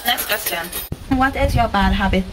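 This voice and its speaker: A woman's voice: mid-range voice, moderate pace. Young woman, Trinidadian accent, chatty vlog answers, noisy background. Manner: chatty, repeats herself, answers her own questions. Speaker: vlogger. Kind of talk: casual Q&A vlog. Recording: noisy home recording.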